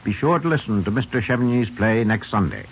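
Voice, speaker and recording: Animated male host, tinny old radio. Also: lowish voice, a little fast, slight background noise. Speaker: radio drama host, narrator. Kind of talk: formal scripted 1940s radio presentation. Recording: thin vintage radio broadcast, phone-like.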